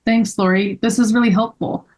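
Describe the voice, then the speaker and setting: A woman's voice: fairly high pitch, steady tone, moderate pace, a little mellow, lightly acted. A woman playing a sensible, courteous amateur sleuth in a scripted table read. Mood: polite.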